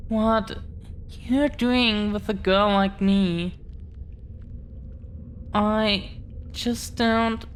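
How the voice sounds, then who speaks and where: A woman's voice: medium pitch, steady tone, very slow, pauses often, a little hiss or hum. A woman playing a nervous, protective dragon-blooded girlfriend in an intimate audio roleplay, speaking hesitantly, earnestly and tenderly.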